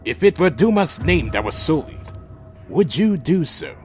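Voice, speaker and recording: Casting: brooding male vampire warrior man acting, solemn audio drama narrator, very expressive pitch, music underneath. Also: unhurried. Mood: wary, questioning. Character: proud male vampire warrior, loyal to his clan, brooding. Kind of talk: audio drama dialogue and first-person narration.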